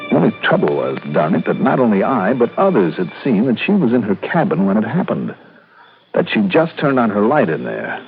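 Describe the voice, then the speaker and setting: An American actor playing a shrewd, hard-boiled investigator in a radio drama, with clipped, dramatic, wry delivery. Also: male voice, fairly low pitch, wildly swooping pitch, fairly quick, a little hiss or hum. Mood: brooding, wry. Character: shrewd, hard-boiled male insurance investigator narrating his case. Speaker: lead actor and star of the radio series. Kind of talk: 1960s radio detective drama acting. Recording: tinny old radio broadcast, music behind.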